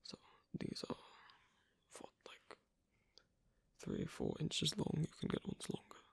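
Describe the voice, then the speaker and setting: A performer voicing an asocial werewolf in an ASMR roleplay, hesitant and gently instructive, in a whispery, breathy, creaky voice. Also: takes it slowly, dry recording, natural voice. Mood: patient, explaining. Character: asocial werewolf on a first date, patiently teaching sewing.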